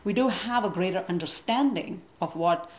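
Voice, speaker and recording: A woman from India, a guest on a TV interview, explaining her views in an articulate, measured way. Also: lowish voice, roomy sound. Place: TV studio.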